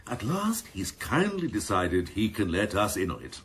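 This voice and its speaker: A man's voice: lowish voice, huge pitch swings, normal speed, fairly bright tone, breathy edge, heavily acted. An actor playing a sardonic senior policeman in a radio drama, scornful and mock-deferential, with ornate, sarcastic phrasing. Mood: sardonic, needling. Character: sardonic, scornful senior police Assistant Chief, needling his boss.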